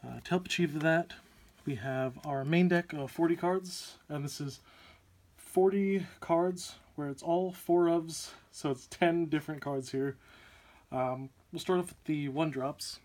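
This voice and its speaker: A young man hosting a card game channel, slightly hoarse from a sore throat, talking casually and upbeat with lots of fillers. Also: on the high side, unhurried, a little mellow. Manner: chatty, upbeat, many "uh" fillers. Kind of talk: casual deck profile walkthrough.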